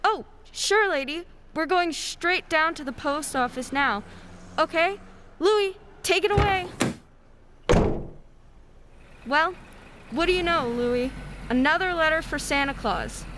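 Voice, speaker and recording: A woman's voice: high-pitched, lively intonation, measured pace. A woman playing a brash, folksy male city postal worker in an amateur radio play, slangy and breezy. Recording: faint background noise.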